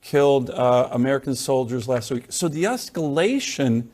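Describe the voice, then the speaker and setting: A man's voice: mid-range voice, animated, moderate pace, darkish tone. A man speaking as a guest on a cable news program, pushing assertive political talking points with a few hesitant fillers.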